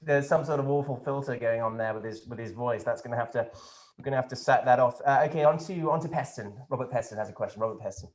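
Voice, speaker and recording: A man's voice: mid-range voice, fast, roomy sound, close to an everyday voice. A man playing a pompous, flippant posh government minister who rambles and stumbles over a video call. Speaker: politician taking questions. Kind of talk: satirical press conference sketch.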